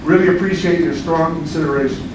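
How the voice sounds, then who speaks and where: A man reading a prepared statement to a college board in a formal, measured way, recorded from a distance in a large meeting room. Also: fairly high pitch, fairly even intonation. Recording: echoey meeting room, distant mic, background noise.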